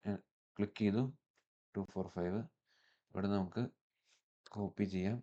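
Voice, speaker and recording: A man with a Malayali accent presenting a step-by-step software tutorial in an instructional manner, mixing in English technical terms. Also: fairly low pitch, level tone, normal speed, highs cut off.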